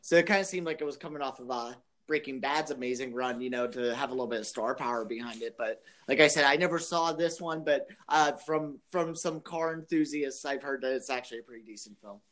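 Mid-pitched man in casual movie chat. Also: fairly even intonation, brisk, few pauses, fairly bright tone. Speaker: podcast panelist.

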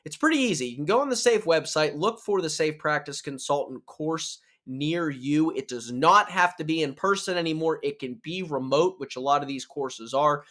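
A man's voice: fairly high pitch, expressive, normal speed, hardly any pauses, a bit bright. A man hosting a solo podcast, explaining casually with restarts while nursing a sore throat from a cold. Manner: upbeat, chatty, with restarts and self-corrections. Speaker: podcast host.

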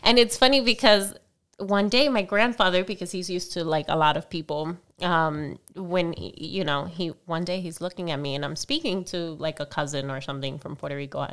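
A woman's voice: on the low side, brisk, fairly bright tone. A woman from Miami co-hosting a podcast, chatting casually and dropping Spanish phrases into her English. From Miami, United States. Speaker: podcast co-host. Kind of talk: casual chat.

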